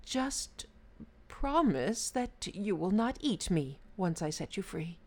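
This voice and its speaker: Poor, kindly Brahmin man, played by a woman: timid, trembling, earnest, pitched up high for storybook telling. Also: big pitch swings, moderate pace, natural voice. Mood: timid pleading. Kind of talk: oral folk tale storytelling.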